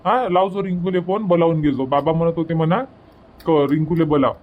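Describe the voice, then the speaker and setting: A man's voice: fairly high pitch, steady tone, a little fast, narrow, tinny audio, natural voice. Guy doing a older village farmer dad, plain talking, practical, rural vidarbha marathi accent, cartoon dub. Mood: practical, reluctant. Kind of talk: amateur Marathi cartoon comedy dubbing.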